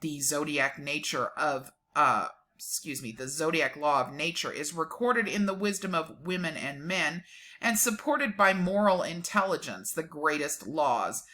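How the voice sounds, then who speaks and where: A woman giving a dramatic, deliberate reading of a document, with emphatic delivery and casual sardonic asides. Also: low-pitched, lively intonation, sharp tone.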